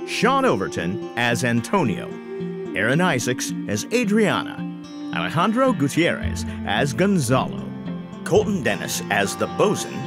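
Very lively male announcer over guitar music. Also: mid-range voice. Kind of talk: scripted podcast credits.

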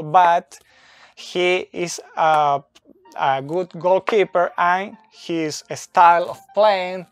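A man in his thirties whose first language is not English, explaining soccer tactics slowly at a whiteboard, recorded on a phone camera indoors. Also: on the high side, dry recording. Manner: slow, deliberate, with fillers and restarts, pointing at a board. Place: indoor room with a brick wall and whiteboard. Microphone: phone camera, close and moving.

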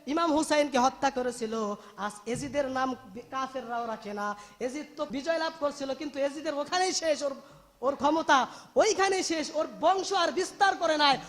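High-pitched impassioned preacher man in reverberant hall. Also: fairly bright tone. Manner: emotive, declamatory, repeats phrases for emphasis. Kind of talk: impassioned religious sermon, storytelling to a congregation.

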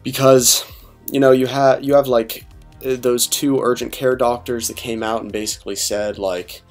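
A young man in his twenties talking quietly and casually in a late-night voice-over about his training plans, rambling with fillers and restarts. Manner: deliberately quiet and low-key. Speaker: fitness vlogger.

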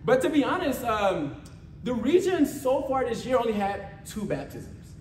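Male American preacher, notably high voice, conversational and exhortative, seeks agreement. Distant mic in a reverberant room. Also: big pitch swings, measured pace. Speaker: church ministry leader preaching a sermon. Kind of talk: informal motivational sermon with call-and-response. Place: church meeting room with responding congregation. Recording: echoey room from far off, faint noise.

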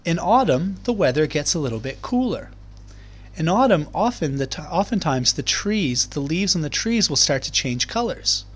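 A man reading aloud to young children as a teacher, explaining things in clear, simple terms. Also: a little high, big pitch swings, normal speed. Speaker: primary school teacher. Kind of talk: children's read-aloud lesson.

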